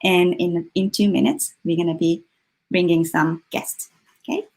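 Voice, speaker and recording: A woman's voice: on the low side, fairly even intonation, unhurried, darkish tone. Woman casually hosting an online workshop. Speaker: workshop host. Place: online video session.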